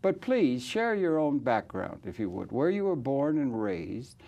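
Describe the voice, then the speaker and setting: A man hosting a conversational interview, asking rambling, halting questions that tend to trail off. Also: a little high, big pitch swings, creaky, a little mellow, muffled recording. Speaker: talk show host.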